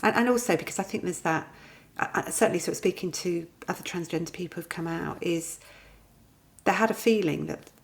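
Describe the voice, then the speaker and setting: Creaky-voiced middle-aged woman interviewing. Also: fairly low pitch, a little fast. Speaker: interview show host. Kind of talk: conversational interviewing.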